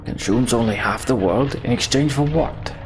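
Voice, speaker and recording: A man's voice: mid-range voice, moderate pace, voice pushed up, natural voice. A man voicing a defiant, exasperated fantasy game leader with an indignant, challenging manner. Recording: game music behind the voice.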